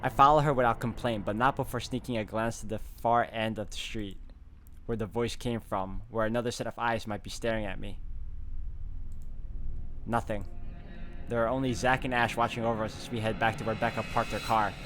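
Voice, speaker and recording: A man's voice: lowish voice, fairly even intonation, a little fast, fairly bright tone, slight background noise. A man doing a let's play, reading visual novel narration aloud in a dramatic storytelling way with casual asides. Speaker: gaming YouTuber. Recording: game music behind the voice. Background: guitar game music.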